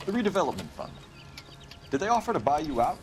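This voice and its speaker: A man's voice: on the high side, big pitch swings, quick pace, background noise. An actor playing a 1940s Los Angeles police detective, American, formal and clipped as he questions witnesses in a video game. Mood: formal, probing. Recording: music behind the voice.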